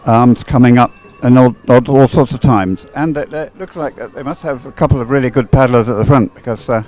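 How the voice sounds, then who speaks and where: A man's voice: mid-range voice, fairly quick, darkish tone, poor audio. A British man commentating live on a race in a conversational, somewhat hesitant way, thinking aloud.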